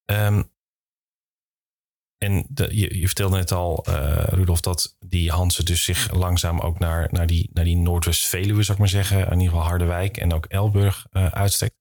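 Male interviewer for a podcast, very low voice, conversational and informal. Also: little pitch movement, normal speed, darkish tone.

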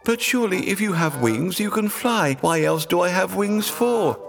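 A man voicing a naive, excitable young dodo bird in a children's storybook, plaintive and given to bewildered exclamations. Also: high voice, moderate pace, creaky, faint music behind, voice pushed up. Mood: pleading.

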